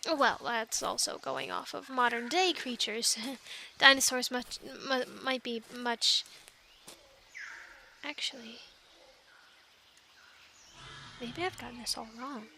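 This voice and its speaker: A woman's voice: high voice, a little slow, gravelly, pushed and bright, a little hiss or hum, full character voice. A woman acting a chatty young island castaway who loves animals, in an intimate, rambling ASMR roleplay with hesitant, earnest explanations. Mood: musing, eager.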